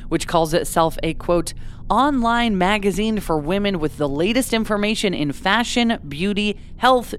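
A woman tells a true-crime story in a relaxed, conversational way. She slips in casual asides as she narrates. Her voice sits in an ordinary middle pitch. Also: a little hiss or hum. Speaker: podcast host.

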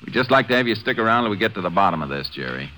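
Deep-voiced, brisk Texas Ranger. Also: male voice, very animated, bright, forceful tone, low-quality recording. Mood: firm. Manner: measured authority, plain-spoken, unruffled. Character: calm, firm, steady male Texas Ranger investigator. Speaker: lead actor and narrator. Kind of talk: 1950s western radio drama acting. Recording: old radio recording with music.

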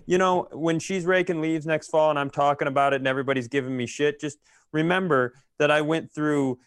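A man's voice: on the high side, fairly even intonation, a little fast, clear, resonant tone, dry recording, studio quality. A man ranting casually and comedically as a podcast host, exasperated and swearing freely. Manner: exasperated, profane.

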